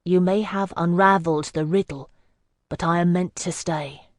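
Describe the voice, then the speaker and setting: A woman's voice: fairly low pitch, fairly even intonation, moderate pace, no room sound, lightly acted. A woman voicing a once carefree young man, now desperate, speaking in a raised, urgent, strained voice in a fantasy audiobook. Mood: anguished. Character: young man, careless prince turned desperate.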